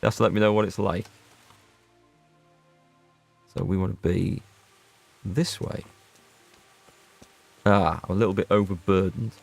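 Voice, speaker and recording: A man with a low, gravelly voice commentates on his game stream in a relaxed, chatty way. He talks to his viewers by name between remarks about what he is doing on screen. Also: normal speed, soft background music. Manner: chatty, reads out and answers viewer chat. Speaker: gaming streamer. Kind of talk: casual let's play commentary.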